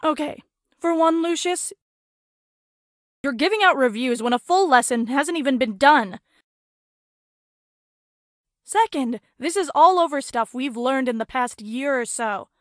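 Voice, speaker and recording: A woman's voice: high-pitched, animated, measured pace, long pauses, no room sound, clean studio sound. A woman voicing a blunt, argumentative young squad member in a visual novel, matter-of-fact and challenging.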